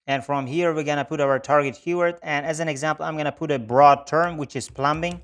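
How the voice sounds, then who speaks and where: A man's voice: on the high side, fairly even intonation, a little fast. A man who speaks as a narrates a screen-share tutorial as a specialist presenter, explaining methodically step by step.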